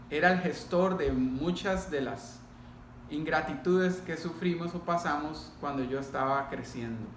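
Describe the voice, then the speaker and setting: Echoey middle-aged Costa Rican man, higher-pitched voice. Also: a bit bright. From Costa Rica. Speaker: pastor. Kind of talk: personal testimony told to a congregation. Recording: echoey room, faint background noise.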